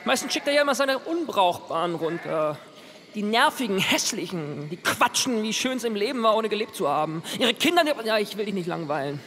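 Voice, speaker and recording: An actor playing a lovesick, smitten devil in a radio play. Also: male voice, high-pitched, big pitch swings, a little fast, fairly bright tone, exaggerated voice. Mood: flirting, chatty. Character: lovesick devil with three horns, plaintive and smitten. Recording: long reverb, background noise.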